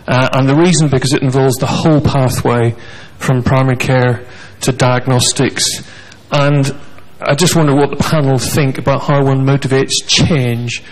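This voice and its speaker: A man, a neurologist, standing in the audience and asking the panel a quick, articulate question into a handheld mic. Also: medium pitch, rough recording. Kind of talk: question from the floor. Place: large conference hall.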